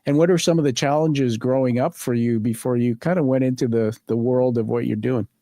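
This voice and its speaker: A man hosting an interview podcast, prompting his guest warmly with enthusiastic questions. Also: medium pitch, steady tone, a little fast, dry recording, studio quality. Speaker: podcast host. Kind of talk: casual interview chat.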